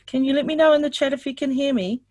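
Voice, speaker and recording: A woman's voice: sits high, steady tone, fast, darkish tone, no echo. Upbeat woman from New Zealand presenting. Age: older. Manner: upbeat, asks the audience many questions. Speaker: webinar presenter. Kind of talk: online sales webinar presentation.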